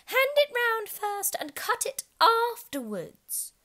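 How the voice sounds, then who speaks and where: A woman voicing an excitable, boastful male unicorn who talks in shrill, eager cries. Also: slow, exaggerated voice.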